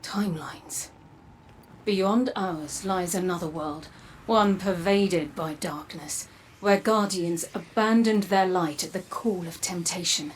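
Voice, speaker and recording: A woman playing a grave, cryptic seer in a video game, hushed and deliberate. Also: fairly low pitch, slight background noise, poor audio. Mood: grave, foreboding. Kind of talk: dramatic video game narration.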